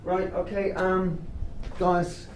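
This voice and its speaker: A man's voice: high-pitched, completely flat delivery, slow. An actor playing an earnest male therapist who leads an anger-management group and talks with many hesitant fillers. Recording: echoey room, distant mic, noisy.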